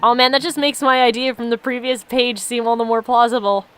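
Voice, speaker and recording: High-voiced woman musing over a game. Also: steady tone, fairly quick, fairly bright tone, clear, resonant tone. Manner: musing, reflective. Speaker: let's play host. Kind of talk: casual game commentary mixed with reading story text aloud.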